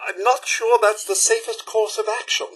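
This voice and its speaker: A man's voice: sits high, steady tone, moderate pace, fairly bright tone, breathy edge. A male actor voicing a prim, fussy robot butler in a video game, with a posh British accent and a theatrical, very polite manner. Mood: polite, concerned. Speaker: companion character in a video game. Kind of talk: video game voice acting, short dialogue lines.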